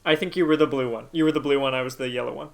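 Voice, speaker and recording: A man's voice: medium pitch, fairly even intonation, very fast, gravelly. A man hosting a let's play, giving casual commentary and thinking aloud as he plays. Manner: thinks aloud while playing.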